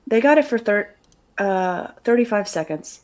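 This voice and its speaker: A woman's voice: medium pitch, lively intonation, moderate pace, darkish tone. A woman co-hosting a game stream, chatting in a matter-of-fact way and laughing easily. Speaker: co-host. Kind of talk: casual stream chat.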